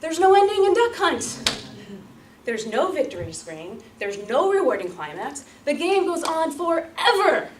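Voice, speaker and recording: Female public speaker, theatrical storyteller with very lively intonation, picked up from a distance with light noise. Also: sits high. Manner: theatrical, emphatic, with deliberate repetition and pauses. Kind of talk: rehearsed dramatic storytelling speech to an audience. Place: room before an audience. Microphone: distant microphone. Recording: roomy, distant mic, light background noise.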